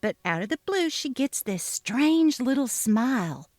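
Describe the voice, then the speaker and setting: A woman narrating a short story as a dramatic, gossipy reading, expressive in her delivery. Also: fairly high pitch, measured pace, no echo.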